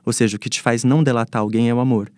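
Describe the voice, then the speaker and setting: A man's voice: mid-range voice, steady tone, very fast, a little mellow, no echo, studio quality. A Brazilian man giving reflective, articulate explanations as an expert guest in a panel interview, building his argument step by step.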